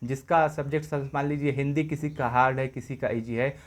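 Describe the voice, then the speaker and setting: A man's voice: mid-range voice, steady tone, a little fast. A man presenting exam updates as an informal explainer, going over points repeatedly in a reassuring way.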